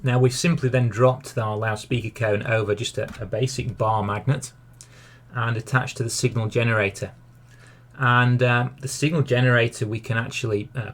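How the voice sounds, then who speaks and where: A man narrating a science lesson as a teacher, explaining a demonstration step by step in a didactic way. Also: medium pitch. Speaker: physics teacher.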